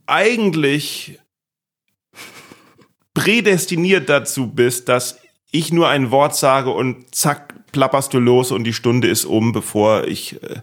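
A man hosts a relaxed comedy podcast from a small studio. He teases his guest with dry irony and stumbles through fillers and restarts as he goes. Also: mid-range voice, takes it slowly. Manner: teasing, ironic, hesitant with many fillers. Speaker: podcast host. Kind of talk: casual comedic interview chat.

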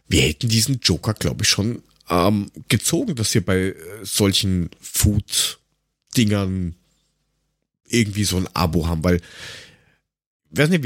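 A man's voice: fairly low pitch, breathy edge, dry recording, studio quality. A man co-hosting a casual podcast, talking in a colloquial, rambling, slightly grumbling way. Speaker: podcast co-host.

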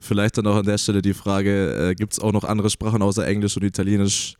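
Young male co-host; low voice, rapid and clipped, dry sound. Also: flat delivery, a little mellow, no room sound. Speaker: podcast co-host. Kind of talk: casual podcast chat.

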